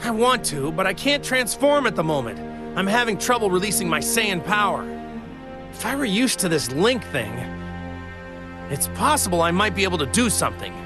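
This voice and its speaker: A man's voice: sits high, big pitch swings, normal speed. An actor playing a cheerful, naive young male martial-arts hero in a game cutscene, talking in a casual, guileless, earnest way. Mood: casual, rueful. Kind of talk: animated game cutscene acting. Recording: loud music bed under the voice.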